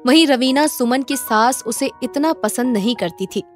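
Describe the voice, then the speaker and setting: A woman's voice: high voice, normal speed, soft background music. A woman narrating a scripted moral story as a storyteller.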